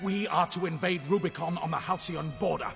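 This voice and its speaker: Cruel, imperious military commander. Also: male voice, high voice, steady tone, a little fast, gravelly. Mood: commanding. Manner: formal, menacing. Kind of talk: dramatic animated game villain acting. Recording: loud orchestral music behind.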